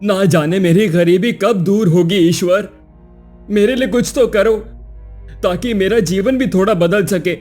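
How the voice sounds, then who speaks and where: A man's voice: sits high, a little slow, a little mellow, faint music behind. A man playing a poor, weary, greedy washerman who exclaims and pleads in a cartoon story. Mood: weary, pleading. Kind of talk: Hindi cartoon moral story acting.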